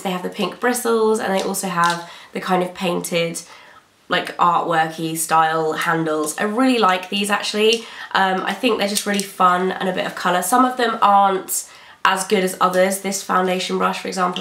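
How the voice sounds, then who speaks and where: Chatty British woman, a bit croaky. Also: mid-range voice, steady tone, normal speed, a bit bright, roomy sound, slight background noise. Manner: chatty, gushing about products. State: slightly croaky from a cold. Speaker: beauty vlogger. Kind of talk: casual haul video chat. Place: bedroom filming set indoors.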